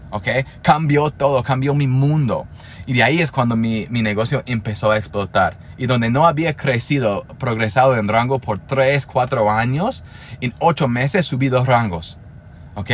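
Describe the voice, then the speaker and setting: A man's voice: mid-range voice, a little hiss or hum. A man in his thirties, a native English speaker talking in Spanish, hosting a solo podcast in an earnest, motivational way with frequent restarts. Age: 30s. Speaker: podcast host.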